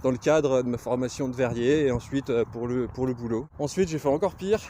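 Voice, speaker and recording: A man's voice: medium pitch, a little fast, dark tone. Lorraine-accented man talking on a walk. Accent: Lorraine French. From Lorraine, France. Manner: rambling, frequent hesitations. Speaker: glassblower vlogger. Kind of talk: casual talk to camera. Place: outdoors on a countryside walk. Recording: outdoor noise with passing vehicles.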